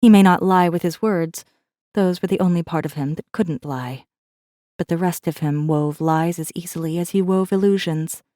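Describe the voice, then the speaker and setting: Low, mellow woman, acting a guarded rebel. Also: moderate pace, no room sound, clean studio sound, natural voice. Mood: wary, defiant. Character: guarded, defiant young woman, human rebel messenger. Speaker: audiobook narrator. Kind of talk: first-person scripted narration, audiobook.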